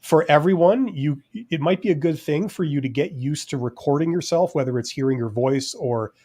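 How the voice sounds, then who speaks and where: A man co-hosting a casual live-stream chat, talking in a relaxed, rambling way with many fillers. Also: fairly high pitch, normal speed. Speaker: podcast co-host.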